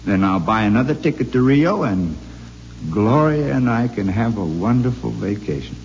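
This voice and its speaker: An actor playing a courteous male marionette robot double whose mild manner turns quietly menacing, in 1950s radio drama style. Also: mid-range voice, animated, moderate pace, creaky. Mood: coolly menacing. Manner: mild and courteous turning coldly sinister. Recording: dull old radio broadcast with hiss and long reverb.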